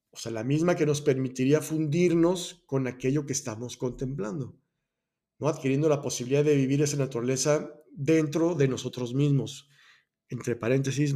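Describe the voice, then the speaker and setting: A man with a mellow, warm voice at a middle pitch, talking through Buddhist concepts on his own show. He speaks in a reflective, unhurried way, stopping to correct himself and restart his phrases as he goes. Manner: thoughtful, self-correcting, many restarts and asides. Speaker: host of a personal growth podcast. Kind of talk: reflective solo talk on Buddhist ideas.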